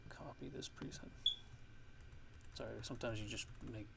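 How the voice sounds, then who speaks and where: A man giving a casual software tutorial, haltingly thinking aloud as he goes. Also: on the low side, steady tone. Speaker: music production tutorial host. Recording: clear background noise.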